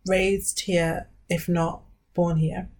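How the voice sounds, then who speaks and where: A British woman, co-hosting a podcast and telling stories in a casual, animated chat with lots of ums. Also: fairly low pitch, extremely slow, gravelly. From Britain. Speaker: podcast co-host.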